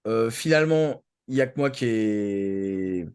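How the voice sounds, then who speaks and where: A man chatting casually as a show panelist, halting and repeating himself as he searches for words. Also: fairly low pitch, animated, darkish tone, clear, resonant tone. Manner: halting, searching delivery with repetitions. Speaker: show regular, co-founding panelist. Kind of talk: casual live show chat.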